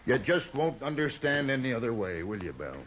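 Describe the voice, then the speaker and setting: An actor playing a menacing, bullying outlaw leader in an old-time radio western, with an American accent and folksy, taunting threats. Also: male voice, mid-range voice, sing-song, brisk, a bit bright. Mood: threatening. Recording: dull, noisy old radio recording.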